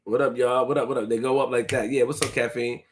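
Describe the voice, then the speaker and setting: A man hosting a casual livestream, shouting out viewers, reading donations and repeating phrases to his chat. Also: mid-range voice, flat delivery, quick pace, slight background noise. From Haitian family roots. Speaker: livestream host.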